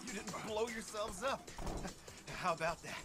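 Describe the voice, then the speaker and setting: An actor playing a brusque, sarcastic criminal in a video game cutscene, giving curt remarks and orders. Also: male voice, sits high, moderate pace, over loud music, lightly acted.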